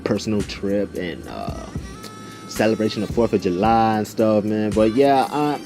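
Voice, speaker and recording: Animated young man telling casual stories. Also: fairly low pitch, normal speed, soft background music. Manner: slangy, animated, conversational asides to listeners. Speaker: YouTube storyteller. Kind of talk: casual storytime chat.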